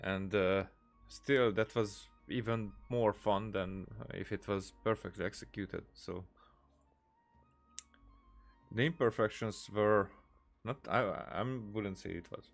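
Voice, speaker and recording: Low gravelly dude talking over his game, stops and restarts a lot. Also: steady tone, soft background music. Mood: musing. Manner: halting, thinks aloud with many restarts. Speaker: streamer. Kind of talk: let's play commentary.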